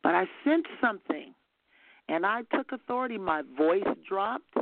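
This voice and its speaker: An older woman minister, soft-spoken but fervent, telling a story as testimony on a call-in broadcast. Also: mid-range voice, animated, normal speed, creaky, no echo. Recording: phone-line audio.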